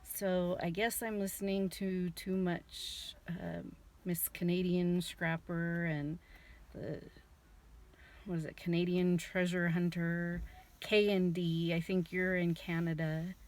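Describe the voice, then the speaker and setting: Middle-aged woman vlogger, unhurried rambling chat, slightly low voice. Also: flat delivery. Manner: rambling, chatty storytelling with fillers. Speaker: vlogger reselling scrap. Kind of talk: casual vlog chat. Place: at home, garage and car.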